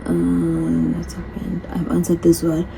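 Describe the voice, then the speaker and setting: Low-voiced woman in her thirties, chatty. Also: completely flat delivery, slow, creaky, mellow, faint music behind. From from a different continent. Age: 30s. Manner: chatty, repeats herself, reads questions aloud. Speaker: vlogger. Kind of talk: casual Q&A vlog. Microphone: phone.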